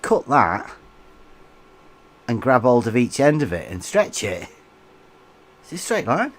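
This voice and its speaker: A man's voice: mid-range voice, huge pitch swings, measured pace. A man co-hosting a livestream, chatting casually and chuckling as he reads out viewer comments. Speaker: livestream co-host.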